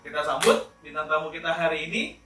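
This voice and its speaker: Male interview host, casual and chatty, forceful bright tone, roomy sound with faint music behind. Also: fairly high pitch, a little fast. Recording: roomy echo, distant mic, faint music.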